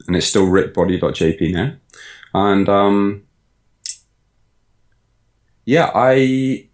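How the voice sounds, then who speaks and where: A man from England with a Birmingham accent, a guest fitness coach chatting casually over a remote call, with lots of ums. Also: fairly low pitch. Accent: Birmingham English. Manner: relaxed, rambling, many ums, laughs easily. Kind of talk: casual interview chat. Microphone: USB desk mic with pop filter.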